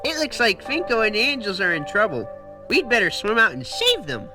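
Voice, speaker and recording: A man's voice: high-pitched, wildly swooping pitch, a little fast, loud music behind the voice, pitched above the performer's own voice. An actor voicing a kindly, heroic young panther who reassures his little brother. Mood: reassuring. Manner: reassuring, upbeat.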